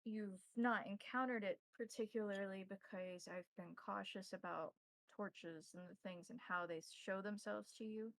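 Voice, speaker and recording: An elder vampire mentor for a tabletop horror game, acted by a woman. The voice has a gravelly fry and sits dry and close. The delivery is formal and deliberate, cautious and instructive. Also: medium pitch, fairly even intonation, no echo, lightly acted, rough recording. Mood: cautioning.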